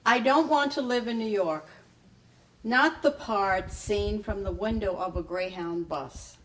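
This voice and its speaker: A woman reading a memoir excerpt to a live audience in measured short phrases. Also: medium pitch, fairly bright tone, distant mic, rough recording. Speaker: author reading a book excerpt. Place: live reading at a public library event.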